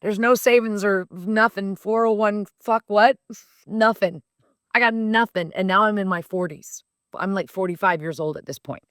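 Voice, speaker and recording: A woman's voice: medium pitch, normal speed, no room sound, clean studio sound. Blunt, profane woman in her fifties. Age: 50s. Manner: blunt, profane, animated and emphatic. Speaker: podcast host. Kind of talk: casual personal storytelling.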